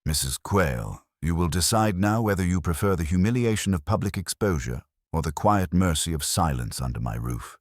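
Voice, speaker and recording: A man voicing a stern, imperious detective who speaks formally and deliberately in an audiobook mystery. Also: low-pitched, animated, no room sound, clean studio sound. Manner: formal, deliberate, pronouncing judgment. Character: stern, imperious male genius detective, coldly accusing.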